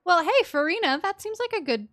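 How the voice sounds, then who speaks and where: A woman game streamer giving casual, chatty live commentary with an animated, empathetic manner. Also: very high-pitched, fairly quick, no room sound, studio quality. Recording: game music behind the voice.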